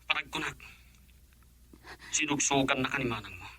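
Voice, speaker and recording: A man's voice: medium pitch, moderate pace, sharp tone, a little hiss or hum, lightly acted. A man playing a bitter, jealous young husband whose wife has left him, in a radio drama. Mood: bitter, accusing.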